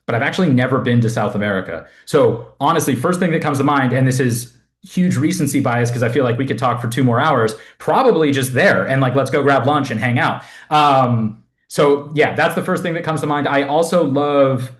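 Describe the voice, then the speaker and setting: A man's voice: medium pitch, brisk, few pauses. A young man from Southern California, a fitness coach chatting casually as a podcast guest, rambling with lots of fillers. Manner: rambling, self-deprecating, many fillers. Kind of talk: casual interview chat.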